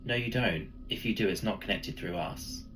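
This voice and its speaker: A man voicing a matter-of-fact phone company operator in a spooky story, polite, flat and insistent. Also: lowish voice, moderate pace, faint music behind, lightly acted, poor audio. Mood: firm, matter-of-fact.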